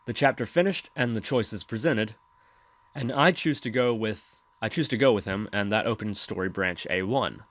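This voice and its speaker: A man hosting a software tutorial, explaining steps in scripted voice-over narration. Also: lowish voice, moderate pace. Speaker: tutorial host.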